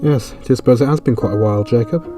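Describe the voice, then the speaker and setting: An actor playing a guilt-ridden detective, brooding and earnest in tone. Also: male voice, mid-range voice, fast talker, music in the background, natural voice. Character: guilt-ridden man, detective, troubled by past. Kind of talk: audio drama acting.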